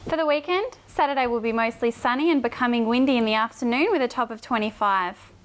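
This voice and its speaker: Australian female weather presenter, higher-pitched, reading a scripted TV forecast. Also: fast.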